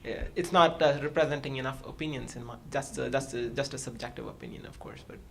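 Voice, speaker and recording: Panelist from India, first language Hindi; gravelly, halting, filler-heavy; faint low rumble behind. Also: male voice. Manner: hesitant, many uh fillers.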